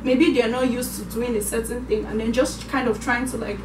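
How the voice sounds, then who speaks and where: A young woman from Cameroon with a Cameroonian accent, co-hosting a casual vlog chat and addressing viewers in an animated way. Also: fairly high pitch, a little fast. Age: young adult. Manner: animated, acts out quoted voices. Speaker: vlog co-host. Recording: echoey room, mic at a distance.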